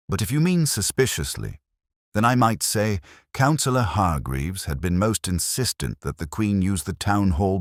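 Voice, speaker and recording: A man's voice: very deep voice, dry recording, clean studio sound, full character voice. A man voicing a prim, opinionated woman of a village committee, declarative and disapproving, in a mystery story.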